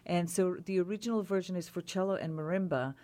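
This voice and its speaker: A woman cellist as a radio interview guest, talking thoughtfully with many pauses and restarts. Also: fairly low pitch, dark tone. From Israel.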